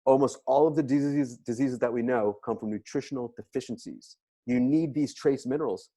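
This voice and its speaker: Emphatic man pitching health supplements. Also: fairly quick, warm tone. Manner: emphatic, repetitive, salesy explaining. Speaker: health practitioner promoting supplements. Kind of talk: enthusiastic product presentation.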